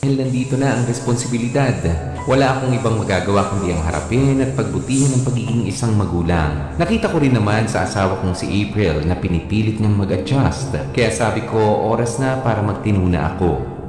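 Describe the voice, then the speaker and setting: An actor as a young married man looks back on his life in an earnest, reflective way, as in a radio drama. His voice is fairly low. It rings in a very reverberant space over faint music. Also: lively intonation, fairly quick, darkish tone, natural voice. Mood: reflective, resolved. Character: young husband and father recounting his marital struggles. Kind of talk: radio drama narration, letter-like confession. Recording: very echoey, reverberant room, music behind.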